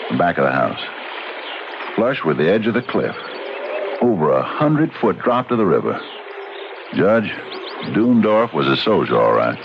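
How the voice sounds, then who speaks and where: An actor playing a shrewd, unhurried frontier sheriff in a folksy, deliberate and probing way, in the style of old-time radio drama. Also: male voice, deep voice, big pitch swings, creaky, full character voice. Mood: wary, knowing. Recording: dull, noisy old radio recording.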